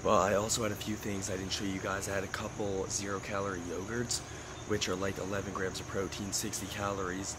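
A young man vlogging casually about his fitness day, hoarse from losing his voice, with a stuffy nose and a laid-back manner full of fillers. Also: low voice, flat delivery, brisk, background noise. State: hoarse, lost voice. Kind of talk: casual vlog narration. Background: music.

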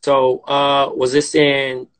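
Relaxed man in his thirties hosting. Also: mid-range voice, slow pace, clean tone. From Compton, California. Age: 30s. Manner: relaxed, hesitant, repeats words often. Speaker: podcast host. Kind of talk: casual interview chat. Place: dim room indoors, on a live video call. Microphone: phone camera, split-screen live stream.

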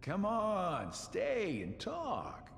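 Casting: sly middle-aged game villain, higher-pitched, slow teasing drawl, swooping delivery. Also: male voice, wildly swooping pitch, creaky, big-room sound, slight background noise, exaggerated voice. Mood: sly, casual. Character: sly, mocking middle-aged male schemer. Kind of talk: video game cutscene acting.

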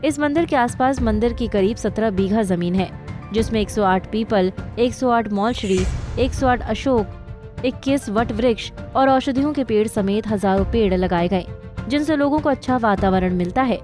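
Woman, news voice-over, mellow tone, medium pitch, faint music behind. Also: moderate pace. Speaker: news narrator. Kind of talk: scripted news narration.

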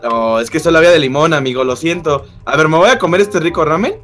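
A man's voice: on the high side, fast talker, a bit bright, faint music behind. A young man with a Mexican accent chatting casually in a roleplay with friends. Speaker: gaming video guest player.

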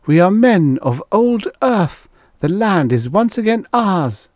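A man's voice: medium pitch, lively intonation, unhurried, a little mellow, dry recording, studio quality, pitched above the performer's own voice, close to an everyday voice. A man voicing a bossy, visionary primitive man in a storybook, commanding and declamatory. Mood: exultant.

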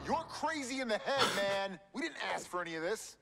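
Exasperated young man, high and swooping, horror game cartoon, loud music behind. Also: expressive, a little fast. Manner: exclamatory, agitated. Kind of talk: animated game cutscene acting.

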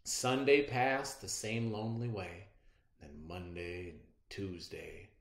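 A middle-aged man reading a storybook aloud in a dramatic, deliberate way. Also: low-pitched, fairly even intonation. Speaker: read-aloud narrator. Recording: roomy echo.

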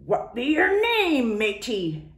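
A woman voicing a loud, blustery male pirate captain who drawls his words slowly and bellows. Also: a little high, wildly swooping pitch, echoey room, voice pushed up, exaggerated voice. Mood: boisterous. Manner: slow, drawn-out pirate talk, bellowing.

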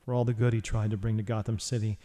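A man's voice: on the low side, little pitch movement, fast talker, mellow, long reverb tail, lightly acted. A man playing a brooding, haunted vigilante hero, narrating a noir inner monologue in an audio drama. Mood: wistful.

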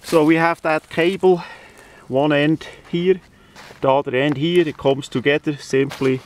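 A non-native English-speaking man giving a casual hands-on demonstration outdoors, halting often mid-phrase. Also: on the high side, fairly even intonation. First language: not English. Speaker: presenter of a DIY radio antenna video. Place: outdoors in windy weather. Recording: outdoor camera audio with faint noise.

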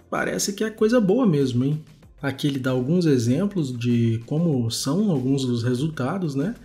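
A man's voice: medium pitch, mellow. A man with a Brazilian accent hosting a web development screencast, talking informally and conversationally as he demonstrates. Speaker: host of a web development video show. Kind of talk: casual screencast commentary. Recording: music behind the voice. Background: background music.

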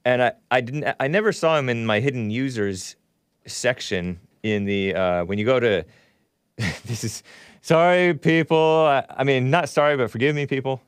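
A man's voice: mid-range voice, normal speed, smooth, no room sound. Male talk-show host, dry studio sound. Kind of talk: casual talk-radio chat. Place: small studio. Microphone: broadcast microphone on boom arm, close.

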